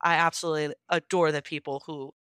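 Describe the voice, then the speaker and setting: A woman with a clear, smooth and lowish voice answers interview questions. Her delivery is casual, earnest and reflective. The recording is tight and dry, without any room sound. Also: steady tone, fast, fairly bright tone, dry recording. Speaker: interview guest, amateur audiobook-style fan fiction reader.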